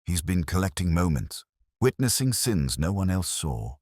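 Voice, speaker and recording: A man playing a grave, shrewd police inspector in a mystery story, measured and declarative, with a husky voice. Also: sits low, sing-song, darkish tone, no room sound, studio quality, pitched above the performer's own voice, full character voice. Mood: grave, certain.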